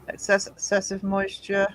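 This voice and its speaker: A woman's voice: mid-range voice, slight background noise, low-quality recording. A woman hosting a live stream at a desk mic, chatty and full of fillers, coughing from hay fever with her voice going. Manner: chatty, greets chat by name, lots of fillers and restarts. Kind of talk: casual live stream chat. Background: quiet self-made tune playing.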